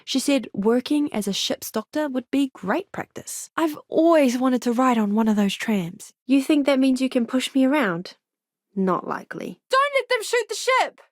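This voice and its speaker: A woman voicing an earnest, eager young ship's doctor in a video game, with a polite, expressive manner. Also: high-pitched, normal speed, no room sound, studio quality. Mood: firm, defiant, then alarmed, urgent. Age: young adult. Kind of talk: game character voice lines.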